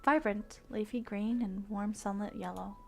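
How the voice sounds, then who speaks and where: A woman livestreaming visual novels, switching between excitable gushing reactions and expressive read-aloud narration. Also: mid-range voice, moderate pace, gravelly. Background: game music.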